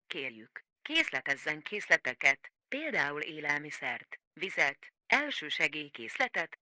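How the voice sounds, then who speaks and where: A man's voice: fairly high pitch, pushed and bright, no echo, exaggerated voice. A man voicing a formal government emergency alert announcer in a scripted broadcast. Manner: formal, official.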